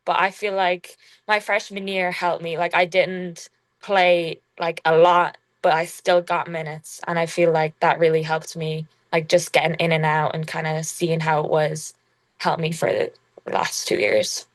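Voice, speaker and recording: A young woman from Ireland, a college basketball player, talking casually in an interview with an Irish accent gone strongly American, halting and full of fillers. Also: low-pitched, no room sound. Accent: Irish with a strong American tinge. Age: young adult. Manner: relaxed, halting, full of "like" fillers, laughs often.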